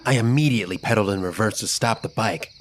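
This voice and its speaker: A man narrating a scripted first-person children's story in an expressive, dramatic storytelling manner. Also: fairly low pitch, fast, slight background noise.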